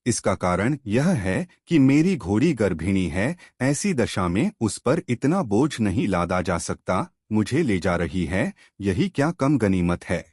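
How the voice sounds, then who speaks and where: A man's voice: fairly low pitch, big pitch swings, measured pace, hardly any pauses, a little mellow, husky, no echo, studio quality, exaggerated voice. A man narrating a story as a foolish, humble horse rider, earnest and deferential.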